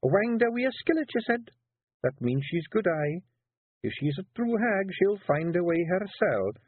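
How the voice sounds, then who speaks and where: A man voicing a young Scottish fairy clan wife who speaks in a dangerously sweet, measured way. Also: sits high, big pitch swings, husky, no echo, pitched above the performer's own voice, full character voice. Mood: thoughtful. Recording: tinny phone-like audio.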